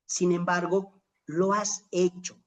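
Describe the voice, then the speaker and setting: A middle-aged Bolivian lecturer speaks at a medium pitch in a reflective, motivational style. The delivery is emphatic and rhetorical, with questions put to fellow teachers and key phrases drawn out for weight. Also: flat delivery, slow pace. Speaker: lecturer in an online teacher-training course.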